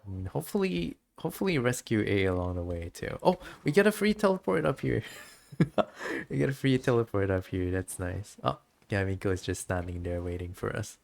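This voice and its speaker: A low-pitched woman with a creaky edge plays through a game on stream. She talks casually, reads dialogue aloud and reacts with giggly, expressive comments. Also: fairly quick.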